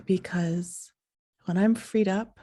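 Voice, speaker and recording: A woman hosting a podcast in a soft, sleepy voice, talking in a slow, halting, reflective way. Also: mid-range voice, steady tone. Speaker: podcast host.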